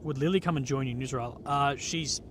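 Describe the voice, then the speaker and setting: Young man, congested, relaxed rambling live-stream chat; mid-pitched voice, noisy open-air sound. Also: fairly quick. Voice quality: stuffy nose, a bit unwell. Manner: relaxed, rambling, lots of fillers and "like". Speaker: livestreamer reporting from a conflict zone. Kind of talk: casual live-stream chat reading comments. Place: outdoors at night in a war zone.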